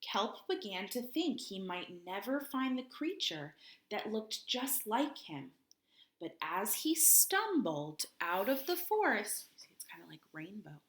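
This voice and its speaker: A woman reading a children's picture book aloud expressively, with dramatic pauses, chatty asides and questions for her young listeners. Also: medium pitch, very animated, fairly bright tone. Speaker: children's read-aloud host.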